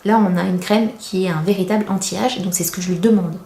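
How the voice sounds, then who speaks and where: A woman's voice: fairly low pitch, steady tone, fast, warm tone. A woman in her thirties giving a casual, chatty product review as a beauty vlogger, confiding and self-deprecating. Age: 30s. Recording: roomy, echoey indoor sound.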